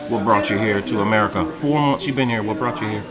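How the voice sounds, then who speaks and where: A man's voice: lowish voice, fast talker, over a noisy crowd. A man from Detroit hosting a casual faith interview, curious and repeating answers back to his guest. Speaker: host.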